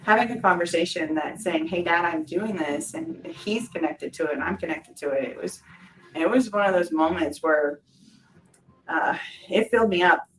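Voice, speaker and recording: A woman's voice: fairly low pitch, moderate pace, fairly bright tone, recorded from a distance, poor audio. A woman telling her personal story in a reflective, informal way, as in a documentary interview. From Hawaii, island of Kauai. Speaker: shaved-ice business owner.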